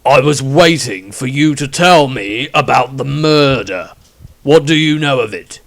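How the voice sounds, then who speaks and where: A man voicing a menacing witch hunter leader in an audiobook story, speaking slowly and deliberately with a gravelly voice. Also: medium pitch, lively intonation, a bit bright, voice pushed up. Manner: slow, deliberate, intimidating delivery.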